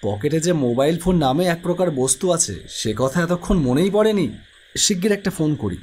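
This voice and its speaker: Man acting a high-voiced, anxious young guy. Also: expressive, fairly quick, darkish tone. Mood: grumbling, puzzled. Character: anxious young man searching for missing friend. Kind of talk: Bengali cartoon horror story voice acting.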